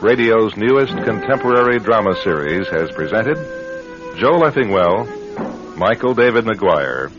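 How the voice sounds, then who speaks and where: A man announcing a radio drama in a measured, theatrical, scripted style. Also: fairly low pitch, expressive, music in the background. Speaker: announcer, writer and director. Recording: dull lo-fi radio recording.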